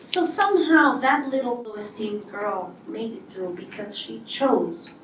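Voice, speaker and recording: A woman delivers a motivational speech, acting out quoted lines as she tells her story. Her voice sits fairly high and comes from some distance off the mic. The big hall around her echoes, and a faint hiss runs underneath. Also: a little slow. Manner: animated storytelling with acted-out quotes. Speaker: speaker. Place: large hall before an audience.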